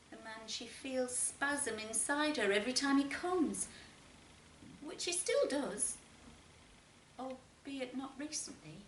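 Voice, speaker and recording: A woman narrates a short story in a fairly high, very animated voice. Her phrasing is deliberate, with dramatic pauses. The recording has a noticeably roomy echo. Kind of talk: expressive literary narration. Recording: roomy, distant mic, faint noise.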